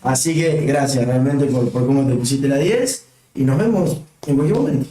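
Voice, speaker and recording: A jokey Argentine man guesting on a home stream, chatty and quick with remarks. His tone is mellow and darkish, and the room adds a noticeable echo. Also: medium pitch, lively intonation, far from the mic. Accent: Argentine Spanish. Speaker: guest co-host. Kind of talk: casual stream chat.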